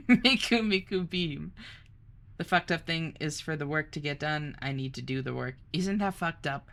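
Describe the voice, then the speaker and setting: A young woman streamer chatting casually, reading chat aloud, bubbly and quick to laugh. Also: deep voice, expressive, gravelly, fairly bright tone.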